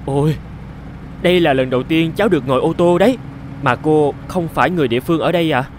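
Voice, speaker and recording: High-pitched poor teenage boy acted by a man. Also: quick pace, slight background noise, lightly acted. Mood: wistful, eager. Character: poor teenage boy, eager, resentful, dreaming of America. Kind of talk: animated moral fairy-tale dubbing.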